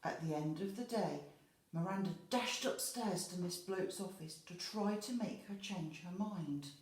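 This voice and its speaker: A woman teacher reading a children's storybook expressively, pausing to explain words simply to young listeners. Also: on the low side, a little mellow. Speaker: infant school teacher. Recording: echoey room.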